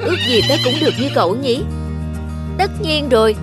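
A woman's voice: high-pitched, very animated, brisk. A woman voicing proud, boastful and admiring animals in a children's cartoon, in an exclaiming, declamatory way. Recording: music under the voice.

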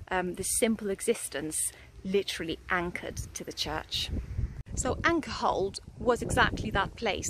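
A woman in her thirties talks through a casual vlog outside in a country churchyard, her voice at a middling pitch. She stumbles and restarts often, padding her sentences with fillers. The phone held at arm's length catches clear background noise. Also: low-quality recording. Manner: chatty and hesitant, with many restarts and fillers. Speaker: vlogger.

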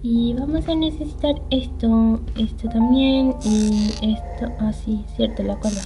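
A woman's voice: a little high, measured pace, mellow, low-quality recording. A woman doing casual let's play commentary on a video game, hesitant and half talking to herself. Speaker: gaming channel host playing a video game. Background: game music.

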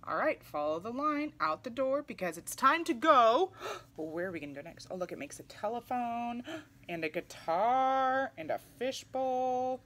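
A woman reading a picture book aloud to young children in an animated, exclamatory way. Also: fairly high pitch, normal speed. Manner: animated, exclamatory, with audible gasps. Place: small indoor room, seated in armchair.

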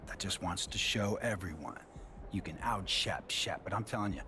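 A man's voice: deep voice, moderate pace, pushed and bright, a little hiss or hum. A man playing a laid-back, generous American movie star in a video game cutscene, talking casually and earnestly with encouragement. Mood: earnest, encouraging.

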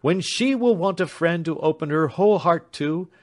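A man's voice: on the high side, animated, normal speed, voice pushed up, natural voice. A man reading an audiobook voices an earnest young man with a fervent, tender delivery. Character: earnest young man, loving, taking his leave.

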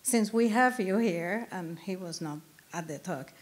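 A woman's voice: mid-range voice, measured pace. A woman in the audience asking a hesitant, polite question at a lecture. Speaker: audience member.

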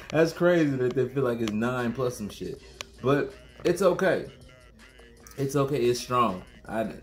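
A man in his thirties reviewing a beer to camera at home, talking casually in slang and repeating himself. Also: fairly low pitch. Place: small room at home, indoors.

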